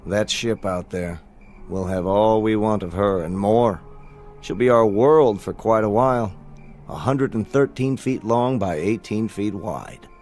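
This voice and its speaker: An actor playing a confident, reflective astronaut in a radio drama, musing in an assured way. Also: male voice, fairly low pitch, darkish tone, natural voice. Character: confident, reflective male astronaut on eve of launch.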